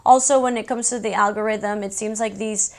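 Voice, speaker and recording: A woman's voice: medium pitch, fairly even intonation, a little fast. A young woman from Mexico City and Houston whose first language is Spanish, chatting casually and candidly as a beauty YouTuber answering viewers. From born in Mexico City, raised in Houston, USA. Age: young adult. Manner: candid, informal, conversational asides.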